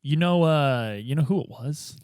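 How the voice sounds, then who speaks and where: A man's voice: fairly high pitch, a little slow, a little mellow, clean tone, dry recording, clean studio sound, lightly acted. A man playing an eccentric, placating police detective in a tabletop radio play, speaking in a casual, hesitant, chummy way. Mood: earnest, coaxing.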